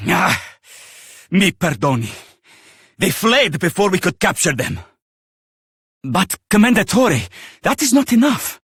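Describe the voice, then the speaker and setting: An actor playing an anxious, deferential soldier with an Italian accent in a video game. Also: male voice, sits high, big pitch swings, measured pace, pauses often, fairly bright tone, husky, dry recording, studio quality, exaggerated voice. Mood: anxious urgency. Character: anxious, deferential soldier reporting to his commander. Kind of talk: video game character acting.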